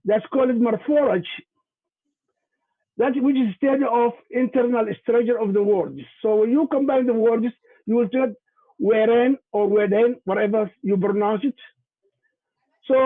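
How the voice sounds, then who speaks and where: A man with a Somali accent, a native Somali speaker, giving a halting presentation over a video call, repeating words and using many fillers. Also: very high-pitched. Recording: tinny phone-like video call audio.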